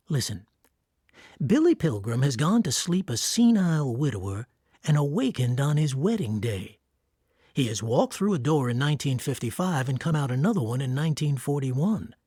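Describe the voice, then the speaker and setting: A man's voice: medium pitch, a little mellow, slightly rough, dry recording, studio quality. An actor playing an earnest, wry war-veteran writer who tells his story in a radio drama. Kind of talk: radio drama acting and literary narration. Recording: music under the voice. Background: music.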